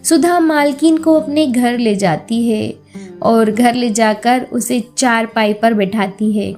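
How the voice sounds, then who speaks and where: A woman's voice: on the high side, slow pace, a little mellow, faint music behind. A woman storyteller giving scripted narration of a children's moral story.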